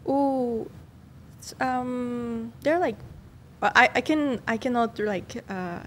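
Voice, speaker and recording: A woman's voice: a little high, takes it slowly, fairly bright tone, a little hiss or hum. A young woman from Mexico, a guest on a talk show, speaking hesitantly with fillers and restarts. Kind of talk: casual chat.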